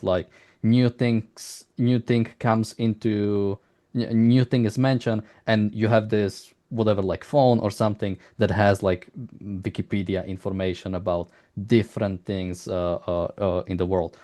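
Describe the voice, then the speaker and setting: A man conducting a casual interview, talking in a halting, rambling way and repeating words as he searches for phrasing. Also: fairly low pitch, mellow.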